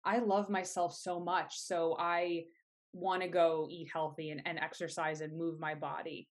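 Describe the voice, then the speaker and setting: A woman's voice: sits low, flat delivery, unhurried. A woman guest on a health podcast, speaking in a soothing, earnest way about healing and self-love. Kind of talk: casual podcast interview.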